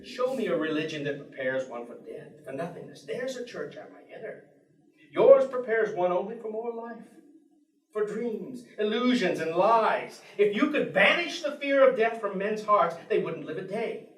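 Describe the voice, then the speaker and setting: A man's voice: high-pitched, lively intonation, normal speed. A man on stage playing a bitter, articulate atheist professor who longs for death, in a theatrical declamatory delivery. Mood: bitter, impassioned. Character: bleak, bitter, intellectual atheist male professor longing for death. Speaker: stage actor in a play. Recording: echoey theatre stage, distant microphone.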